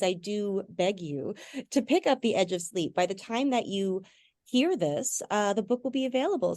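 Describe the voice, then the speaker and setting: A woman hosting a podcast interview, chatting informally and haltingly, with lots of filler words like "like". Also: a little high, a little mellow, no echo. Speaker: podcast host. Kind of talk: casual interview chat.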